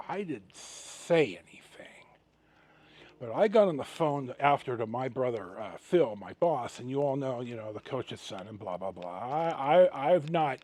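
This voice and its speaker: A middle-aged man telling a personal story casually to his viewers as a vlog host, rambling and halting with dramatic pauses and emphasis. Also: medium pitch.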